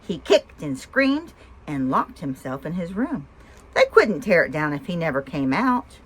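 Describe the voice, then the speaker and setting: A woman reading a picture book aloud for young children with expression, pausing to ask the listeners simple questions. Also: on the low side, very animated, husky. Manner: asks listeners simple questions between story lines. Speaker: storyteller and host of a read-aloud show.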